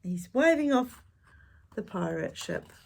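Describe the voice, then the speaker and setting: A woman reading a picture book aloud to young children, expressive and dramatic, with gentle questioning asides. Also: a little high, slow pace, vocal fry. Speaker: children's storytime reader.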